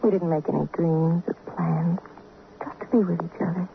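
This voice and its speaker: Frail, bereaved young-love heroine, female: fragile, halting, earnest radio drama delivery on a thin, faintly hissy recording. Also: on the low side, steady tone, gravelly. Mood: sorrowful. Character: frail, grieving sick woman suspected of killing her lover. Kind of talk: 1950s radio drama acting. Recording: tinny, faintly noisy old radio recording.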